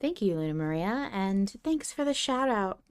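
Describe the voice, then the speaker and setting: A woman's voice: mid-range voice, big pitch swings, normal speed, smooth. A woman co-hosting a podcast in casual discussion, talking through her point conversationally with hedges. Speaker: podcast co-host.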